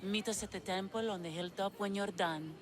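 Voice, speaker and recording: A woman playing a sly witch in a video game, with a deliberate, theatrical, coaxing delivery. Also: medium pitch, over music, lightly acted. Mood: assured, scheming. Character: sly witch of Hecate peddling potions, slow, sultry. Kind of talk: dramatic video game acting.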